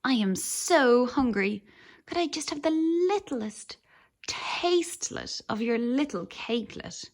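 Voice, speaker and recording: Sweet chirpy little storybook creature, voiced by a woman, trills and coaxes, lilting and high. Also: expressive, slow, full character voice. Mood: wheedling. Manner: trilling, coaxing, cutesy. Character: chirpy small fairy-tale creature, sweet and hungry.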